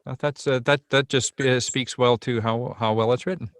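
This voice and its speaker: A man's voice: medium pitch, steady tone, normal speed, no room sound, studio quality. A male actor chatting casually and appreciatively on a video call. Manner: appreciative, complimentary. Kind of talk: casual chat.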